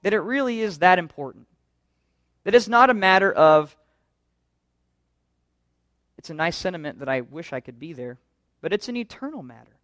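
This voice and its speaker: A man's voice: high-pitched, lively intonation, moderate pace, pauses often, a bit bright, no echo, clean studio sound. A man pastor preaching a sermon in an earnest, conversational way, full of rhetorical questions.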